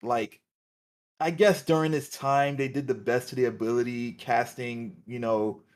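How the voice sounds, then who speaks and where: A man's voice: medium pitch, steady tone, a little slow. A man co-hosting a podcast, talking casually and searching for his words as he explains his view. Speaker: podcast co-host. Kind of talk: casual panel discussion.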